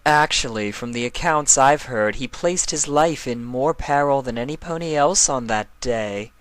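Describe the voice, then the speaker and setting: Man voicing a stern, haughty dark mare. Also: mid-range voice, moderate pace, dry recording, pitched above the performer's own voice, natural voice. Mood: matter-of-fact. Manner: dry, sardonic, imperious. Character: stern, haughty female dark alicorn villain. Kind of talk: fan fiction character reading.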